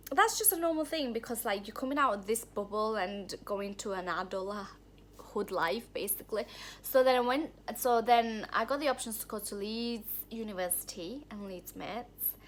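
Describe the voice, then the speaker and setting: A woman's voice: fairly high pitch, a bit bright. A woman speaks to the camera from a room at home. She tells her own story in a candid, heartfelt way, rambling and restarting her sentences as she goes. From Thailand. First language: Thai. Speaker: vlogger. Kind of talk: personal story vlog.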